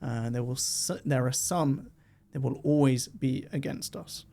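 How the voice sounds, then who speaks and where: A man, a diplomat speaking as a guest in a podcast interview, measured and lifting his voice when he quotes others. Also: medium pitch, vocal fry, mellow. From Israel. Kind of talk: conversational interview.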